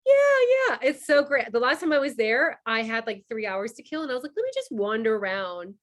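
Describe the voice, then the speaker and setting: A woman from Cuba hosting an online member orientation, talking fast and chatty with many fillers over a video call. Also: a little high, sing-song. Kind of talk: casual online presentation.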